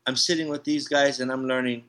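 A man with a bright, forward voice talks in a laid-back, rambling way, circling back on his words with lots of restarts and "you know, bro." He sounds like a tattoo artist chatting about his craft in a casual interview. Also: medium pitch, fairly even intonation, fairly quick, a little hiss or hum. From Hawaii, raised in San Diego.

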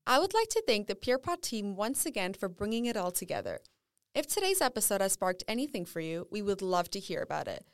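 A woman's voice: mid-range voice, big pitch swings, brisk, no room sound, studio quality. A woman reading a scripted podcast outro in an upbeat, announcing manner.

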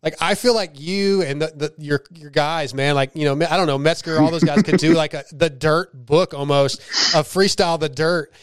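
A man hosts a podcast in a chatty, self-deprecating way and laughs often. His voice is smooth and slightly high. The sound is dry and close. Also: moderate pace, dry recording. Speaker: podcast host. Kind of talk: casual podcast conversation.

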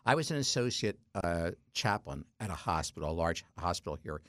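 A man's voice: low-pitched, expressive, a little fast, a bit bright, no room sound, studio quality. A man in his sixties, a deacon guest on a radio talk segment, chatty and self-mocking, telling stories with frequent stumbles and restarts. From South Jersey, US. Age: 60s.

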